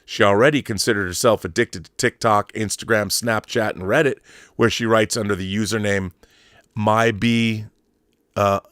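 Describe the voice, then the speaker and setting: A man's voice: lowish voice, steady tone, moderate pace, a bit bright, no echo, clean studio sound. A middle-aged American man giving casual, opinionated commentary as a show host, rambling and emphatic, with some swearing.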